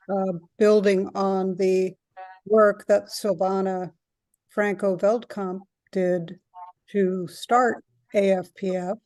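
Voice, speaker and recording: A woman speaking as a new board chair on a video call, earnest and pausing with fillers. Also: medium pitch, dark tone, dry recording.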